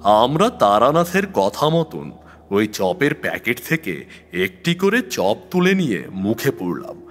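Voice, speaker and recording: Slow male narrator with hugely swinging pitch. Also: sing-song, a little hiss or hum. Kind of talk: dramatic scripted horror story narration.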